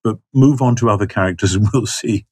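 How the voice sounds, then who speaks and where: Hesitant older man, low voice. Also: a little fast, breathy edge, no echo, clean studio sound. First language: not Hebrew. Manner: hesitant, many ums and stammered restarts. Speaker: biblical scholar guest. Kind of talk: thoughtful conversational explanation.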